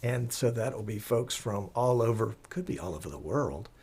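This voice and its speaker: A man hosting a live show in a chatty, folksy, rambling and enthusiastic way, croaky as he recovers from the flu. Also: on the low side, animated, moderate pace, darkish tone. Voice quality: slightly husky, croaky. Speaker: live stream show host.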